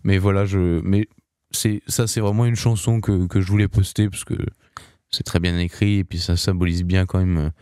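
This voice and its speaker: A man's voice: low-pitched, fairly even intonation, moderate pace, darkish tone, smooth, no room sound, clean studio sound. A young man, a singer, answering in a casual radio interview, hesitant with many restarts and fillers. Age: young adult.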